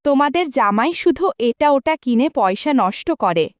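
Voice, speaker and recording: A woman's voice: fairly high pitch, big pitch swings, normal speed, smooth, no room sound, studio quality. A woman voicing an affectionate, chatty young village wife in a cartoon folk tale. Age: young adult.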